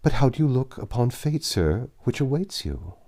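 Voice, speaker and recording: Man voicing a gentle, devoted young woman, lifted pitch. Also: very animated, normal speed. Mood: concerned. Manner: tender, pleading, courteous.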